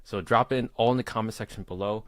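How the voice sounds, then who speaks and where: A young man in his early twenties hosts a casual live question-and-answer stream, telling his story in a loose, rambling way. His voice sits on the low side. The sound is dry and close, with no room around it. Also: quick pace, dry recording. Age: 20s. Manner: relaxed, rambling with fillers and laughs. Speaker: host.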